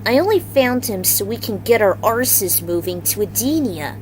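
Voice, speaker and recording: A woman's voice: mid-range voice, very animated, normal speed, a little hiss or hum, close to an everyday voice. A woman voicing a sarcastic, brash female demon warrior with a venomous, taunting manner in a fantasy story. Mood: impatient. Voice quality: alto.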